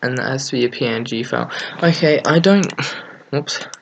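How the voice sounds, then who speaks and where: A man casually hosting a software tutorial, thinking aloud in an offhand way as he works at his computer. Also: medium pitch, normal speed, vocal fry, highs cut off, slight background noise. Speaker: tutorial host. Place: at a computer desk, screen recording.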